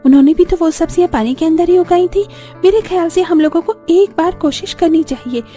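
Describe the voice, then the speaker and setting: Very high-pitched earnest village daughter-in-law acted by a woman. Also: a little fast, dark tone, faint music behind. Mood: earnest, hopeful. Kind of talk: cartoon story dialogue.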